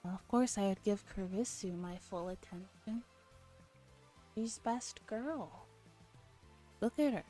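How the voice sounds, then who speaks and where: A woman with a mellow, relaxed voice streams a visual novel as ASMR. She reads dialogue softly and drops into casual commentary. Quiet music plays behind her. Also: mid-range voice, slow pace, pauses often.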